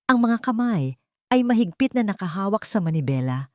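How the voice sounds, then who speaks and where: A woman narrating a scripted dramatic story in a solemn, emotive, measured way. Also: medium pitch, very animated, no echo, studio quality.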